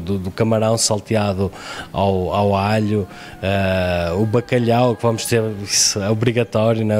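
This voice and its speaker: A fairly low-pitched man with a European Portuguese accent speaks into a handheld mic on a studio kitchen set. He talks in a halting, restarting way, full of fillers, as a restaurateur chatting on a TV interview. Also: a little mellow. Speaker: restaurant owner. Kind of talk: casual interview.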